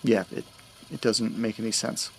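An actor playing an anxious young man who can't remember the past year, talking in a hushed, stammering way. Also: lowish voice, normal speed, gravelly, slight background noise, poor audio. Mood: nervous. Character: anxious young man haunted by memory loss. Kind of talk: audio drama acting.

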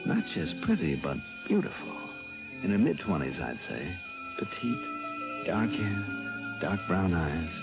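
Radio detective: very deep American male, wry and smooth, swinging intonation, muffled old broadcast. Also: wildly swooping pitch, takes it slowly, music in the background, heavily acted. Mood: admiring. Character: wry, smooth male insurance investigator, easily smitten. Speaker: lead actor and narrator. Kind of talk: 1960s radio detective drama acting and narration.

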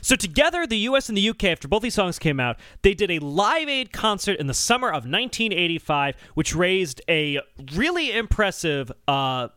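A man hosting a podcast, explaining things in a casual, animated and wry conversational way. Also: high-pitched, normal speed, hardly any pauses, clear, resonant tone, dry recording. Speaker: podcast host.

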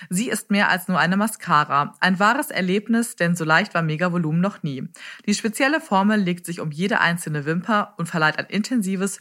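A woman's voice: fairly low pitch, a bit bright. A woman hosting a beauty podcast, presenting in interview style with scripted sponsor reads. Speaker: podcast host.

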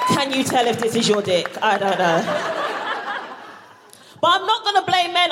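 A woman from Barbados performing a live stand-up comedy set, punchy and playful, shouting out her punchlines. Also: sits high. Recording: live stage recording with audible background noise.

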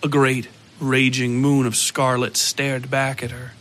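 A man reading scripted horror fiction as a narrator, deliberate and dramatic, in short measured phrases. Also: mid-range voice, level tone. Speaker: narrator and producer. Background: loud music.

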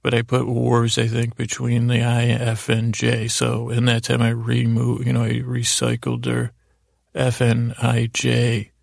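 A man speaks in a heavily fried, gravelly voice with very little pitch movement, as the host of a bedtime podcast. His monologue wanders and halts, doubling back on stumbled words. The sound is close and dry, with faint music underneath. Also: monotone, no room sound. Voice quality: creaky, gravelly. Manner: meandering, halting, stumbling over words. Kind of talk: rambling bedtime monologue to help listeners sleep.

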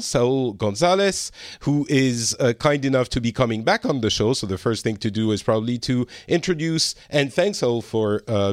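Halting man hosting a podcast, dry sound. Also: medium pitch, no room sound. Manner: halting, many fillers and restarts. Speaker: podcast host. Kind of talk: casual interview chat.

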